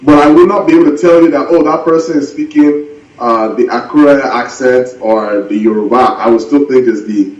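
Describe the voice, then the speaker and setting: A soft-spoken man chatting as a guest pastor, often laughing and circling back over his words. His voice sits at an ordinary middle pitch. It sounds distant from the mic, in a roomy, echoey space. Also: normal speed, dull audio. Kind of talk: casual interview chat.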